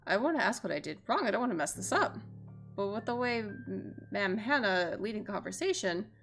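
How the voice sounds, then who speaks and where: A woman streaming a visual novel, narrating expressively in a mid-pitched voice with plenty of creak. Her own reactions are casual and sweary, and music plays softly behind her. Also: very animated, a little fast.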